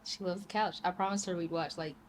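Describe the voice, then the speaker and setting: A young woman vlogging in a chatty, casual way, her voice raspy from a sore throat, laughing often and trailing off with fillers. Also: fairly low pitch, steady tone, fast, slight background noise. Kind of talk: casual vlog chat.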